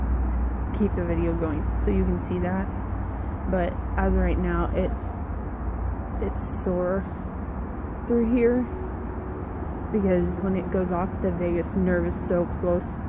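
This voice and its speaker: A young woman recording a candid video diary outdoors on a phone held at arm's length, talking in a halting way with frequent pauses. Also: lowish voice. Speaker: vlogger. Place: outdoors in a yard with trees. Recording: outdoor traffic noise behind.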